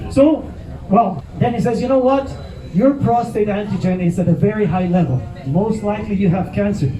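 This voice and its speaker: High-voiced Mexican man doing stand-up. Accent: Mexican Spanish. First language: Spanish. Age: 50s. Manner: animated, loud punchlines to a crowd. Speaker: stand-up comedian. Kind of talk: stand-up comedy set. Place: comedy venue with live audience. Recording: roomy venue sound from a distant mic with crowd.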